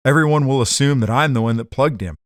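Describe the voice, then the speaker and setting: A man playing a slow-witted, indignant husband caught among gangsters in a comedic audio drama, whiny and bewildered. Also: medium pitch, dry recording, studio quality, natural voice.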